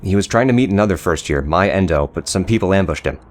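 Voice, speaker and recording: A man playing a nervous, agitated high school boy in an audio drama, hesitantly reporting a crime. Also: animated, fast. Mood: anxious, urgent.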